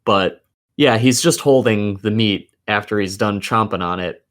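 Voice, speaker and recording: A man's voice: lowish voice, unhurried. A man co-hosting a casual video game discussion, giving opinions with plenty of swearing. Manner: profane, opinionated. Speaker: podcast co-host.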